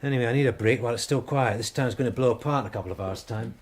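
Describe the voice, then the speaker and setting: An actor playing a cheery, down-to-earth detective sergeant in a radio drama, blokey and easygoing. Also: male voice, mid-range voice, very fast, a little hiss or hum, lightly acted. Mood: breezy.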